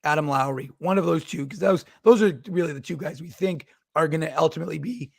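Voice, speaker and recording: A hoarse man co-hosting a sports talk show, his voice high and gravelly from losing it. He rambles as he builds his questions out loud. The sound is dry and close. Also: quick pace, dry recording. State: hoarse, has lost his voice. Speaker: co-host.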